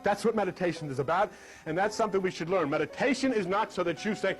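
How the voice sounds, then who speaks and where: High-pitched man teaching meditation over music. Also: animated, fast talker. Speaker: spiritual teacher. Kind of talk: talk on meditation and scripture. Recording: music laid under the speech.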